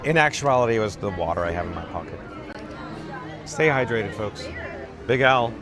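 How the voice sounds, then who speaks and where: Raspy man livestreaming from a crowd. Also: on the low side, normal speed. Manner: dry, self-mocking, monotone. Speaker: livestream host reading chat. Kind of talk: casual live-stream chatter. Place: outdoors on a parade route. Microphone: camera rig held by the speaker. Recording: outdoor crowd with music behind.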